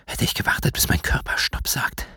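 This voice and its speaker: An actor playing a brooding, bitter writer and recovering alcoholic in a German radio play, speaking in an introspective, confessional way with a gravelly, often hushed voice. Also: male voice, a little fast, no room sound, in a whisper, clean studio sound. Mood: wistful, bitter.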